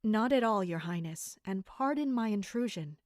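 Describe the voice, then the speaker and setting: A woman's voice: medium pitch, animated, normal speed, no room sound, studio quality, close to an everyday voice. A woman playing a polite, observant royal maid in an audio drama, speaking articulately. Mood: measured, guarded. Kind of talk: audio drama acting with inner-monologue narration.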